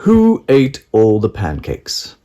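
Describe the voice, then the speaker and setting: A dismissive, mocking dad from a picture book, acted by an adult narrator. The delivery is animated, with pitch that moves around a lot. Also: takes it slowly, highs cut off.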